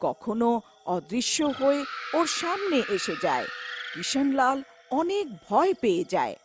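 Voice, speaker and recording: A woman's voice: high voice, wildly swooping pitch, a little slow, over music. A woman narrating a scripted children's horror folktale as the storyteller.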